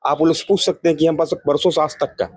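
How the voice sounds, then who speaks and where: Fast-talking man with a mellow voice. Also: on the high side, fairly even intonation, slightly rough. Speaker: local resident interviewed. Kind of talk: street interview, opinion statement.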